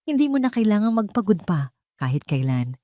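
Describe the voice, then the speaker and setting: A woman's voice: medium pitch, big pitch swings, fairly quick, dark tone, no room sound, clean studio sound, pitched above the performer's own voice, lightly acted. Controlling male fiancé read by a female narrator, sweet-talking with a commanding weight, audio drama. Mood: gently commanding. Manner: tender yet commanding.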